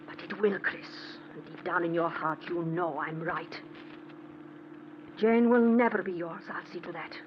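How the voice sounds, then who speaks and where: An actress playing a possessive, volatile wife in an intense, imperious manner in an old-time radio mystery. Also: female voice, lowish voice, unhurried, close to an everyday voice. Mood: coldly confident. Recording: muffled, noisy old radio recording.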